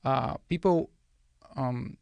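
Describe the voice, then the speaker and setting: A man in his thirties, originally from Bulgaria, speaking as a guest trader in a studio podcast interview with a soft, measured manner. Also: mid-range voice, creaky, dry recording.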